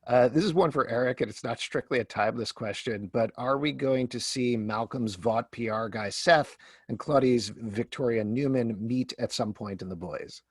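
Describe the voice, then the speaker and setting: A man with an average-pitched voice moderates a casual panel. He puts questions to guests conversationally, and the sound is dry, with very little room in it. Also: moderate pace, breathy edge, no room sound. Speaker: host and moderator.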